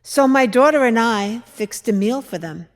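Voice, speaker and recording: A woman performing a dramatic monologue as a skeptical older mother-in-law from biblical times, telling her memories in a deliberate, expressive, reflective way. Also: on the high side, close to an everyday voice. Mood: warm remembering. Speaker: dramatic reader at a church service. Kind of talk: dramatic monologue, measured storytelling. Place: large church interior. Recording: echoey church hall, long reverb.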